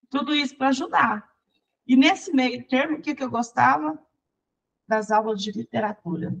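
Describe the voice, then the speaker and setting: Brazilian lady songwriter chatting away in an interview, kinda high voice, lively. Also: unhurried, creaky, long pauses, husky. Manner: lively, chatty, restarts and laughs while recounting. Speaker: interview guest, songwriter and teacher. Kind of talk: personal storytelling in conversation.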